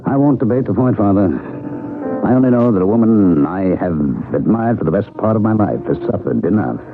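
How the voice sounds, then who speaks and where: A tinny old radio drama with music behind the voice. a low-voiced, devoted searcher, formal, hesitant and deeply earnest, with very expressive pitch. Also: male voice, a little fast. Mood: solemn. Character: earnest, pleading male searcher, courteous and devoted.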